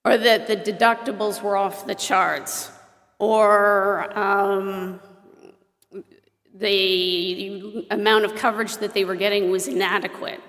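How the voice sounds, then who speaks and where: A woman from Ontario, a director presenting to a meeting in a lively, emphatic way with touches of humor. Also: mid-range voice, slow pace. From Ontario, Canada. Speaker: association director presenting an insurance program. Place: meeting hall at an airport. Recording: roomy hall reverb.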